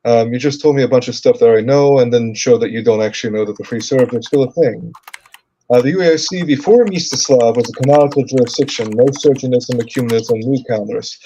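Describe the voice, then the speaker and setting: A man from the United States co-hosting a live Q&A stream, talking in a halting way with many fillers and restarts. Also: medium pitch, warm tone, dull audio. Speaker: co-host. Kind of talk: livestream Q&A chat.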